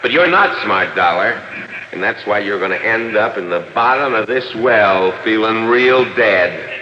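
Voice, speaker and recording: A murderous, gloating crook from a vintage radio crime drama. He sneers and taunts, drawing out his threats. The sound is echoey and muffled, with clear noise over it. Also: lowish voice, animated, measured pace. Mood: smug, menacing. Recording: noisy, muffled, echoey old radio recording.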